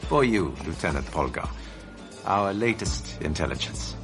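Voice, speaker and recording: An actor playing a suave, evasive German general running a spy network, courteous and condescending, in a radio drama. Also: male voice, sits low, expressive, unhurried, vocal fry, full character voice. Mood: courteous, sly. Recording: music loud behind the voice.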